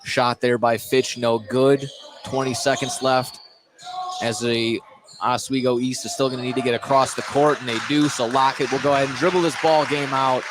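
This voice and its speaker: A man's voice: mid-range voice, little pitch movement, normal speed. A man calling a live basketball game on radio as the play-by-play announcer. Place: indoor gym at a basketball tournament. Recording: noisy gym ambience behind.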